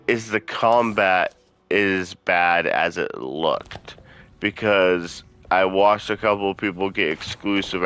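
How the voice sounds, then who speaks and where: A man's voice: low-pitched, sharp tone, a little hiss or hum. A man giving casual let's-play commentary, talking informally to his viewers and swearing freely. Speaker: gaming video host.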